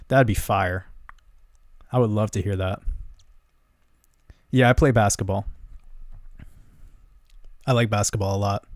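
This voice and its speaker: A young man with a gentle voice hosting a livestream, casually chatting and answering viewer comments in a relaxed, rambling way with easy laughs. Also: medium pitch, no room sound, studio quality. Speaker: livestream host.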